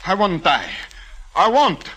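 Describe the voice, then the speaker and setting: A man's voice: high-pitched, sing-song, slow pace, heavy background noise, heavily acted. An actor playing a servile, devoted henchman in an old radio melodrama, with a subservient manner. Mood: terrified pleading. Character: servile male henchman, devoted to his master. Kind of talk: 1940s-50s radio mystery acting.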